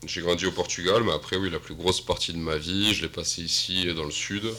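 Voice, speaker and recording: Deep voiced dj guy, shy and halting, little hum in the room. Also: fairly even intonation, pushed and bright. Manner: modest, halting, filler-heavy. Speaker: guest DJ and producer. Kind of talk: casual interview talk.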